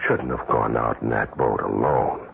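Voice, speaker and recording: An actor playing a smooth-talking, murderous philanderer in an American radio drama, coolly menacing and evasive. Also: male voice, very deep voice, normal speed, heavily acted. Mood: wary, evasive. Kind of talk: 1970s radio drama acting. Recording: tinny, noisy old radio recording.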